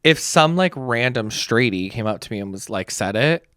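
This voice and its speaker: A man's voice: mid-range voice, lively intonation, moderate pace, fairly bright tone, no echo, clean studio sound. A man co-hosting a podcast in casual chat, with sassy, campy banter. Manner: sassy, campy banter, calls people girl and honey. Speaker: podcast co-host.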